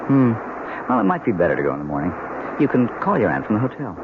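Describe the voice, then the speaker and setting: Deep-voiced, quick-talking young husband. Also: male voice, very animated. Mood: easygoing. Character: confident, easygoing newlywed husband, a corporate consultant. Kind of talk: old-time radio drama acting. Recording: dull, noisy old radio broadcast.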